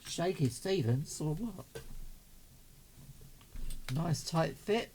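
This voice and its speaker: Slow-talking man chatting over background noise. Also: fairly high pitch, animated, darkish tone. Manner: chatty, doting, muttering to himself as he works. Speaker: radio hobbyist vlogger. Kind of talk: casual unboxing commentary.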